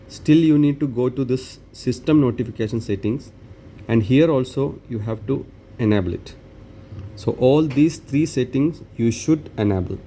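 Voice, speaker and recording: A man presenting an unscripted step-by-step tech tutorial in halting, short phrases with non-native phrasing. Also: mid-range voice, dark tone, a little hiss or hum.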